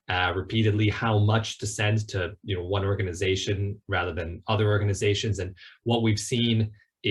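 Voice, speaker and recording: A man answering thoughtfully in an online panel discussion, reflective and measured, qualifying his points. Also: on the low side, a bit bright.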